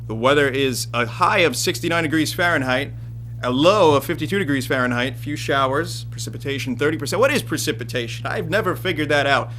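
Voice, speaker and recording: Jokey local weatherman played by a man, bright tone, deadpan. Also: on the high side, slight background noise. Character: goofy male TV weatherman. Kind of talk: comedic weather segment.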